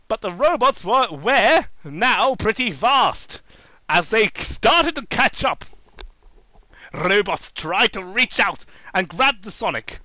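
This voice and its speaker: Theatrical high-pitched man reading fan fiction. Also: expressive, bright, forceful tone, no room sound, low-quality recording. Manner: theatrical, halting. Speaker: amateur reader of fan fiction. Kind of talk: dramatic comic reading.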